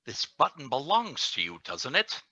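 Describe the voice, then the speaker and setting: A man plays a stern, officious security chief in a radio play. His voice is very bright and forceful, with lively swings of pitch. He insinuates and accuses like an interrogator. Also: moderate pace, exaggerated voice. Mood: accusing. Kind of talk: radio play script reading.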